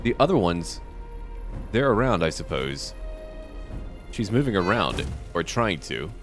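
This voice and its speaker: Low-ish male voice, roleplay let's play guy, calm and musing to himself. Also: faint music behind. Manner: measured, musing, talks to himself. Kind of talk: narrated roleplay gameplay commentary.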